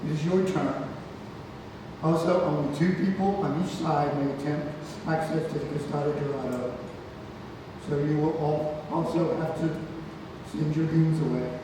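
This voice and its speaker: Stage grandfather, male actor: earnest, guiding, slightly high-pitched, in a heavily echoing room. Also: fairly even intonation, moderate pace, lightly acted. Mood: firm, earnest. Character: protective grandfather playing a riddling golden idol. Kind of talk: stage play table read. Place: theatre room, recorded from far off. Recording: distant echoey hall, clear background noise.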